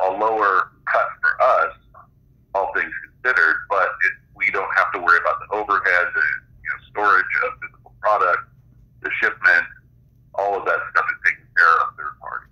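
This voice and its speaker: A low-pitched male interview guest with a very bright, forceful edge to his voice. He explains things in a conversational way, pausing between phrases, and sounds band-limited, like someone on a phone or radio line. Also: highs cut off.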